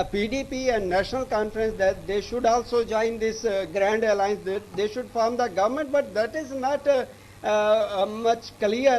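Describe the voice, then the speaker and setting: A man with an Indian English accent giving a live news report in a halting, repetitive way. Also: high voice, a little mellow, a little hiss or hum, rough recording. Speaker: news correspondent.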